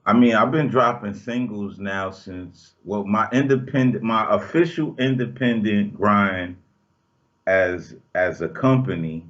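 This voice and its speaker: A man's voice: lowish voice, unhurried. A man in his forties, a rapper guesting remotely, laid-back and slangy, with playful sayings. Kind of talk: casual interview chat. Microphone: video call. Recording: dull lo-fi video call audio.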